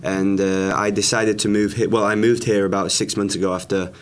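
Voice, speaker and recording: Young man, student, lowish voice, relaxed, hesitant. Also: a little fast. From Sicily.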